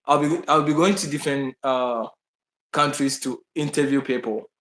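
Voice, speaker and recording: A man with a Ghanaian accent talks loosely to his viewers, stumbling into restarts and repeating points for emphasis. His voice sits at an ordinary middle pitch. The recording carries a noticeable room echo. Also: moderate pace, fairly bright tone. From Bahamas, raised partly in Ghana. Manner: rambling, many restarts and fillers, emphatic repetition. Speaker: vlogger answering viewer questions. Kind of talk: casual live chat to viewers. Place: indoors in Brazil.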